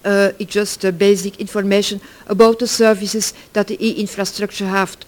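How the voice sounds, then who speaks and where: A middle-aged or older speaker from Belgium, giving an informal conference presentation in halting, self-correcting English. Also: medium pitch, level tone. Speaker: project work package leader, conference presenter.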